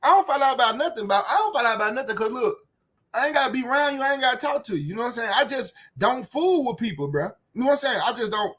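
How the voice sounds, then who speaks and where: High-pitched, blunt Memphis man ranting. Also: expressive, very fast, a bit bright. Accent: Southern US, Memphis. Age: 30s. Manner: blunt, profane, repetitive, frequent "you know what I'm saying". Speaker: YouTube commentator. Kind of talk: casual rant. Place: outdoors, windy.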